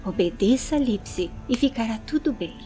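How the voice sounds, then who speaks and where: Commanding fantasy lady, played by a woman, Brazilian accent, protective, firm, raised pitch, audiobook with music. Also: expressive, moderate pace, mellow, close to an everyday voice. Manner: firm, urgent, maternal authority.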